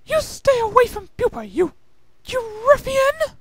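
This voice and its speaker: A man voicing a young female unicorn filly in a dramatic reading, frightened but brave and crying out with high drama. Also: huge pitch swings, unhurried, fairly bright tone, a little hiss or hum, exaggerated voice. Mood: defiant.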